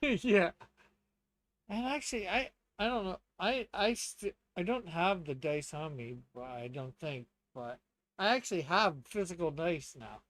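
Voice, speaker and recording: A man's voice: high voice, expressive, a little slow, a bit bright, dry recording. A man chatting casually and a little hesitantly as co-host and player on a tabletop game livestream.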